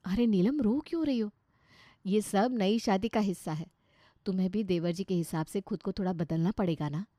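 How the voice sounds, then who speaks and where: A woman's voice: medium pitch, normal speed, mellow, dry recording, studio quality, lightly acted. A woman voicing a kind, sensible elder sister-in-law in a moral story, coaxing and giving advice. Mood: consoling. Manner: coaxing, advising. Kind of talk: dramatised Hindi moral story.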